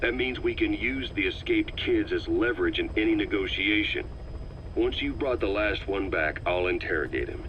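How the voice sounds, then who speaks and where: An actor playing a hard, suspicious military security officer in a video game, speaking in a clipped, commanding way over a radio. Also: male voice, on the low side, lively intonation, moderate pace, muffled recording. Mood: grave, determined. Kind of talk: dramatic video game voice acting. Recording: band-limited radio with faint hiss.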